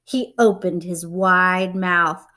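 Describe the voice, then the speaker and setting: A woman reading a children's picture book aloud, expressively, pausing to explain and put questions to young listeners. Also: lowish voice, steady tone, sharp tone. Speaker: read-aloud storyteller and teacher-like reader.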